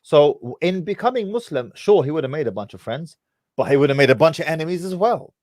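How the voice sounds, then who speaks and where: A man's voice: on the high side, lively intonation, fast, a little mellow, dry recording. Guy hosting a stream, blunt and pushy, interrupts a lot, dry mic sound. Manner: pushes back, interrupts with blunt questions. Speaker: host. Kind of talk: livestream debate with a caller.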